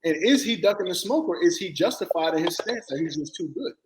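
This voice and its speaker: A man hosting a casual sports and culture talk show, animated and quick to jump in over the others. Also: high voice. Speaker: podcast host. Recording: echoey room with faint noise.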